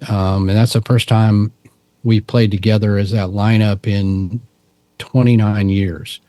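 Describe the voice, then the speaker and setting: A middle-aged man with a low, dark-toned voice talks through his stories at an easy pace. He loops back and restarts his sentences, relaxed and unhurried, in a dry, close recording. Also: no echo. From Texas. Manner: relaxed, rambling, frequent restarts and fillers. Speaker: podcast guest, musician and cryptid researcher. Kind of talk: casual interview storytelling.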